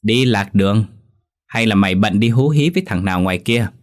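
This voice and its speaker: A man's voice: fairly low pitch, fairly quick, close to an everyday voice. A man voicing a cruel, nagging stepmother who scolds and screeches. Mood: suspicious. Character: shrill cruel middle-aged stepmother.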